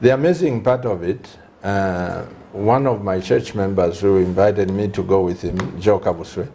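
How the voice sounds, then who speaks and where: A man, hosting a conversational interview in a deliberate, halting way of phrasing. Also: low voice, warm tone, a little hiss or hum. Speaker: host, church leader.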